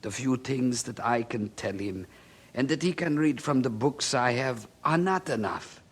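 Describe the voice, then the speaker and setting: A man's voice: medium pitch, lively intonation, measured pace, husky. A man acting in a radio drama as a hardworking, poor miner father, earnest, hopeful and emphatic in his delivery. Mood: earnest, determined.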